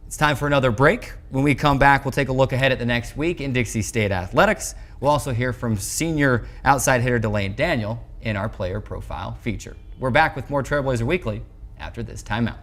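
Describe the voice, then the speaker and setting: A man's voice: medium pitch, fairly quick, fairly bright tone. An American man in his twenties hosting a sports show, presenting in a sportscast style. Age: 20s. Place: indoor TV studio sets. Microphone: lapel mic.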